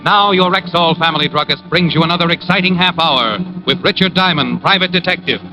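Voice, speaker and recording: A man's voice: fairly high pitch, fairly quick, low-quality recording. An American man introducing a program in scripted 1950s radio announcer style. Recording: old radio broadcast recording. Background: music.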